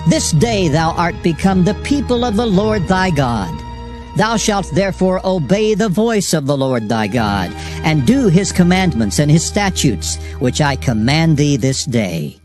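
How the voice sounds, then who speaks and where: A man's voice: fairly high pitch, big pitch swings, unhurried. A man playing a stern ancient prophet, solemn and declamatory, in a dramatized Bible reading over music. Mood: solemn, commanding. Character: stern ancient prophet proclaiming sacred law and curses.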